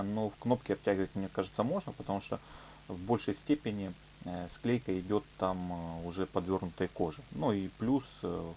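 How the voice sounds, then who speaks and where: A man's voice: low-pitched, darkish tone. A man presenting an informal hands-on craft tutorial, explaining step by step with hesitant phrasing and filler words. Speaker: leathercraft workshop tutorial presenter. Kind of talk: informal hands-on product demonstration. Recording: clear background noise with hum.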